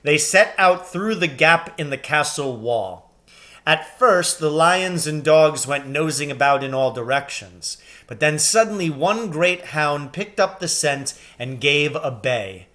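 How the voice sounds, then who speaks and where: A man reading a children's story aloud with expressive, deliberate and dramatic phrasing. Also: fairly high pitch. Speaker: reader, teacher reading aloud.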